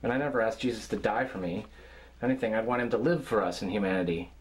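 A man in his forties thinking aloud in a halting, questioning monologue, filmed on a close camcorder in a small room. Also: on the low side, slight background noise. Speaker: amateur filmmaker. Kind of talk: rambling musing monologue to camera. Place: small indoor room with cardboard walls.

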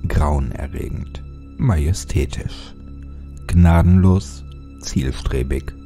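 Very dark-voiced man narrating horror. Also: rumbling low, faint music behind. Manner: dramatic, suspenseful delivery with deliberate phrasing. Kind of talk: scripted narration of a horror audiobook.

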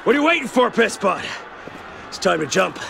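A mocking old male assassin from an action game, gravelly and coarse. His shouted taunts run very high and swoop wildly over clear outdoor noise. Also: sing-song, a little fast, heavily acted. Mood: mocking, goading.

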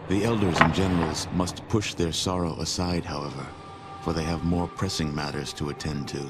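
An actor playing a solemn, measured war chronicler narrating a post-apocalyptic game. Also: male voice, low-pitched, natural voice. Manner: grave, measured storyteller delivery. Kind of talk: scripted game cutscene narration. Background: music.

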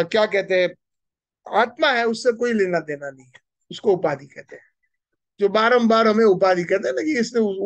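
A middle-aged man from giving an animated devotional lecture in a temple hall, speaking into a stand microphone and asking his listeners questions. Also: sits high. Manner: animated, gesturing, asks the audience questions. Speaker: spiritual speaker. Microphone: stand mic close to mouth.

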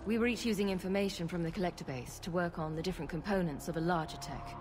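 An actress playing a serious, guarded scientist who leads refugees in a video game, speaking in a measured, earnest way. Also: female voice, fairly low pitch, close to an everyday voice. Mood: grave, guarded. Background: game music.

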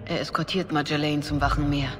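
Measured cleric woman in a reverberant hall actress. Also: low-pitched, level tone, close to an everyday voice. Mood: grave, discreet. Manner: measured, formal. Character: calm, devout, quietly shrewd cleric woman of authority. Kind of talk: dubbed video game drama acting. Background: music.

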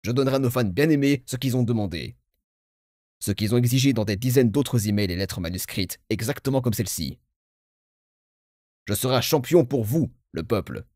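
A man playing a pompous, self-satisfied game narrator with formal, measured, grandiloquent delivery. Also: medium pitch, animated, darkish tone, dry recording, studio quality, natural voice. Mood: moved, grandiose. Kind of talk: theatrical scripted game narration in French fan dub.

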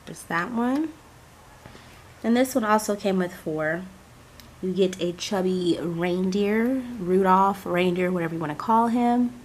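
A woman hosting a casual unboxing vlog, hoarse from a cold, chatty and gushing as she talks to her viewers. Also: mid-range voice, measured pace, a little hiss or hum. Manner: chatty, gushing, addresses viewers as "you guys". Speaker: crafting channel host.

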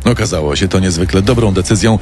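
A man's voice: deep voice, fast. A man narrating a scripted true-crime story with music behind him.

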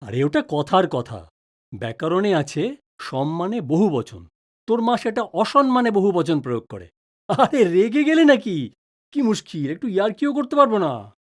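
A man's voice: high voice, very animated, normal speed. An actor playing a patient, reassuring middle-aged father in an audio drama, speaking in an avuncular, advising way. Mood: playful.

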